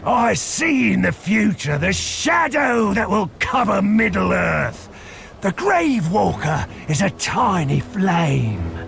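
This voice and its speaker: High gravelly orc warlord yelling, slow and swoopy, game music behind. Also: male voice, sing-song, heavily acted. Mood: menacing. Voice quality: gravelly, shouting. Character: ranting male orc war chief, menacing. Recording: game audio over music.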